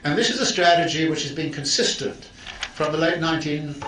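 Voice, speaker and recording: A male professor giving a deliberate, explanatory lecture to students and often restarting his phrases. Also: a little high, fairly bright tone. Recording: echoey room, distant mic, faint hiss.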